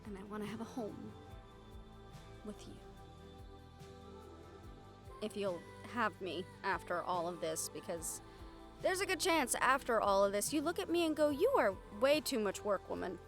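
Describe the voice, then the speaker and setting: A young woman playing an affectionate, teasing girlfriend in an intimate audio roleplay, speaking in a halting, tender and wry way. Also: medium pitch, lightly acted. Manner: halting, tender, wryly teasing, casually sweary.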